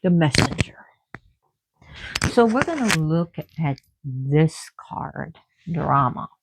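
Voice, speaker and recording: A woman talks slowly and unhurriedly about myths and oracle cards, often halting and restarting as she searches for words. Her voice has a gravelly, fry-edged texture. The sound is close and dry, with no room around it. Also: low voice, animated, dry recording, low-quality recording. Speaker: oracle card reader. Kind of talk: casual spiritual talk about mythology.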